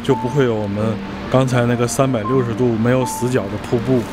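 A man vlogging casually on a hiking trail in heavy rain, talking into a handheld phone with excited exclamations. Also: mid-range voice, quick pace, over music. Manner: excited exclamations, chatty asides. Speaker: travel vlogger. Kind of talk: casual on-the-go vlog chat.

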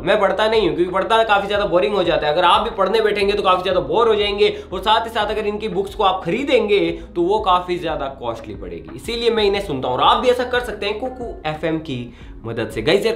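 A young man presents to camera as a show host in an excitable, familiar manner. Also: high voice, echoey room. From India. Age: young adult. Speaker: host of a science experiment channel. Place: indoor studio with plain grey backdrop. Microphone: clip-on lapel mic.

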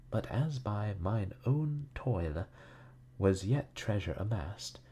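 A man voicing a brusque, excitable theatrical magnate in a storybook, terse and halting over old writing. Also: on the low side, slight background noise, pitched above the performer's own voice.

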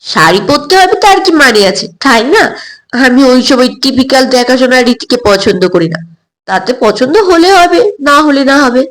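A headstrong young tomboy of a woman acted by a woman narrator in an audio story. She talks bluntly and breezily in a high voice. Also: big pitch swings, moderate pace, poor audio. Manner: breezy, defiant, talkative.

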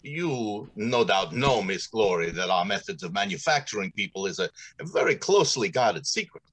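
A man's voice: on the low side, big pitch swings, normal speed, fairly bright tone, slightly rough, full character voice. A middle-aged man playing a pompous, courteous factory general manager in old-time radio drama style, theatrical and businesslike. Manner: theatrical, businesslike, hesitating with ums.

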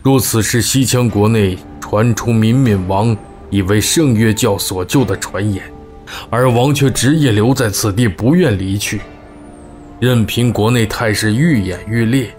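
An actor playing a shrewd, deferential royal advisor in a costume audio drama, speaking in a measured, deliberate, scheming way. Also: male voice, fairly low pitch, darkish tone, faint music behind, close to an everyday voice. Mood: sly, assured. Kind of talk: Chinese costume radio drama acting.

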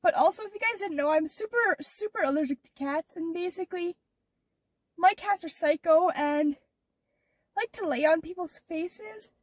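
A woman's voice: very high-pitched, moderate pace, no room sound, distant mic. A teenage girl chatting casually in her car, rambling with lots of fillers, her nose stuffed up from allergies. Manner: rambling, many "like" and "or whatever" fillers. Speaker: vlogger. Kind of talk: casual vlog chat.

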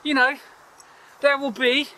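Playful man with a very high, bright voice. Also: slow pace, low-quality recording. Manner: playful, excitable. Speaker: co-host. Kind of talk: comic banter. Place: outdoor garden by a pond. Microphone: camera mic at a few metres.

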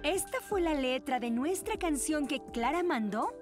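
An outspoken, loyal cartoon teenage girl, high and animated, with a Latin American accent. Clear music runs behind her frank, expressive delivery. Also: measured pace. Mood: indignant, mocking. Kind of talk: dubbed children's cartoon acting.

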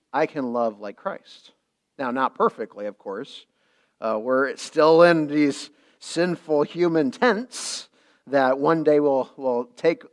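A male pastor preaching a midweek sermon, earnest, repeating phrases and restarting lines. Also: a little high.

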